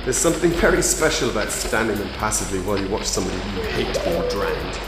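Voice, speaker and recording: A man's voice: lowish voice, quick pace, heavy background noise, lightly acted. A man playing a cruel, sneering secret service heavy in a radio thriller, barking orders with menace. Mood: gloating cruelty. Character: cruel, sneering MI6 heavy who enjoys his victims' fear. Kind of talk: radio thriller drama acting. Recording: echoey hall reverb under loud music.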